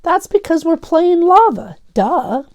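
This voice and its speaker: A woman's voice: very high-pitched, very animated, slow, darkish tone, voice pushed up, full character voice. A woman reading a storybook aloud as a competitive, sassy young boy with an emphatic delivery. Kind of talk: children's storybook read-aloud.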